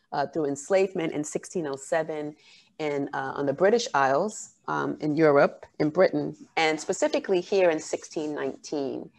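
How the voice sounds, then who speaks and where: A woman giving an academic keynote talk over a video call, earnest and measured, pausing often with fillers. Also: sits low, creaky, a little mellow. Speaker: keynote speaker.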